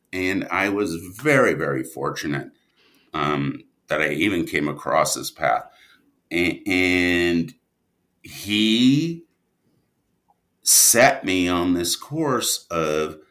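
Low-pitched, expressive man in relaxed interview. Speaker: guest, audio drama writer and producer. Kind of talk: conversational interview storytelling.

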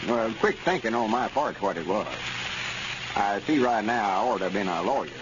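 A man's voice: on the low side, lively intonation, fairly quick, close to an everyday voice. An actor playing a vain, self-important backwoods man with a rural Southern accent in a 1930s radio comedy. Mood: boastful. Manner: pompous, boastful. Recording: scratchy, muffled 1930s radio recording.

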